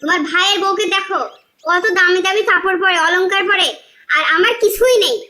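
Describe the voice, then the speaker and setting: A woman's voice: very high-pitched, steady tone, brisk, pushed and bright. A woman playing a poor, envious wife in a cartoon, complaining with a sarcastic edge. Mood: scolding. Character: discontented, envious, scolding poor fisherman's wife. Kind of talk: cartoon dialogue.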